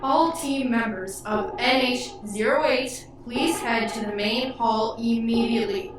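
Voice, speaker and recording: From far off in a very echoey space, a woman acts as a spaceship's computer in a sci-fi radio play. The female voice is formal, commanding and impersonal, with clear background noise. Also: fairly high pitch, unhurried, close to an everyday voice. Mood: insistent. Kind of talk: repeated public announcement. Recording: very echoey, distant, noisy announcement.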